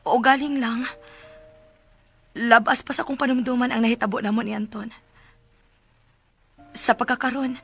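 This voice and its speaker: An actress with a Cebuano accent playing an exasperated young wife who scolds a meddling helper in a radio drama. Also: female voice, fairly high pitch, fairly bright tone, slightly rough. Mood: earnest, troubled.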